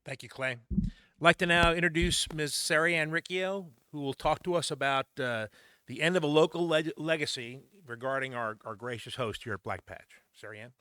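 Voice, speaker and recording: Man, emcee, slightly high, bright, halting, dry. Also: smooth, dry recording. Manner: halting, many uhs. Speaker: meeting emcee. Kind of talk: introducing speakers.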